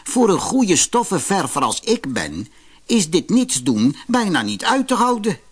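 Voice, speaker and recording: A man's voice: high-pitched, wildly swooping pitch, a little slow, breathy edge, voice pushed up, full character voice. A man voicing a greedy, hypocritical cloth dyer in a storybook, sanctimonious and falsely reluctant. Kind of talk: storybook character voice.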